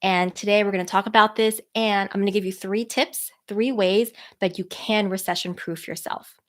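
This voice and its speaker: A woman's voice: medium pitch, fairly even intonation, fairly bright tone. A woman in her thirties with a cold, talking nasally as a people skills coach on a podcast live stream, earnest and encouraging. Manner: earnest, encouraging, slowed by a stuffy nose.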